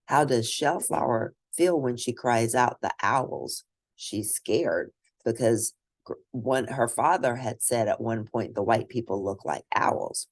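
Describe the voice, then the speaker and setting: Woman, children's read-aloud, expressive, teacherly, creaky edge, dry recording. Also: rumbling low, a little slow, no room sound. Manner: expressive, teacherly, asks questions of listeners. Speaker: storybook reader.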